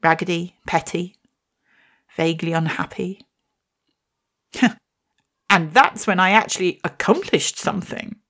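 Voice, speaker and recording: A woman's voice: fairly low pitch, unhurried, pauses often, a bit bright. A woman, telling a reflective personal story and reading her poem with wry, self-deprecating humour. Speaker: podcast host and poet.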